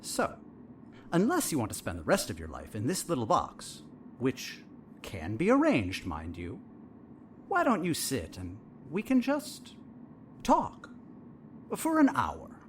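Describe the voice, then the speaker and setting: Swooping, condescending, menacing doctor. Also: male voice, medium pitch, huge pitch swings, slight background noise. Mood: condescending, veiled threat. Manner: measured, patronizing, falsely polite. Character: condescending, smoothly menacing doctor treating a captive patient. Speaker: voice actor in an audio drama. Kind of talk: audio drama acting.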